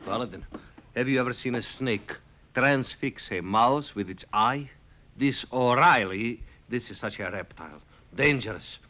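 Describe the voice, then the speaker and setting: A man's voice: mid-range voice, measured pace, lightly acted. An actor playing a wily immigrant father in an old radio western, florid and pleading. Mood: indignant, dramatic. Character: wily, theatrical immigrant farmer, haggling father. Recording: muffled vintage radio recording.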